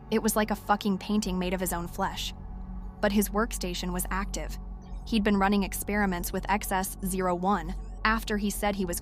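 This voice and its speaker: An actress playing a shaken, guilt-ridden scientist who speaks haltingly in an audio drama. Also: female voice, medium pitch, lightly acted. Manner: distraught, halting.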